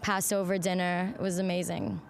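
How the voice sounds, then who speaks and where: A woman's voice: fairly low pitch, little pitch movement, moderate pace, vocal fry, heavy background noise. A young woman from Thailand, a soldier, answering casually in a personal interview. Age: young adult.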